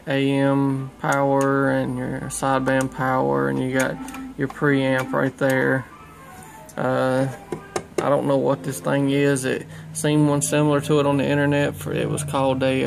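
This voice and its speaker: A man talks casually as a hobbyist showing his gear. His voice is mid-pitched and gravelly, with very little rise and fall. He speaks in a folksy, colloquial way into a handheld camera in a small room. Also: slight background noise. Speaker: hobbyist CB radio operator. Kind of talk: casual showcase of own gear. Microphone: handheld phone camera behind the lens.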